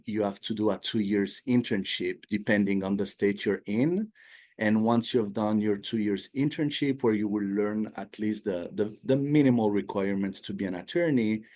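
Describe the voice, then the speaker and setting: Low-voiced man, halting speech. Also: dark tone. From Switzerland. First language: not English. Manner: halting, many fillers and restarts. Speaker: guest, attorney. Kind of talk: casual interview talk.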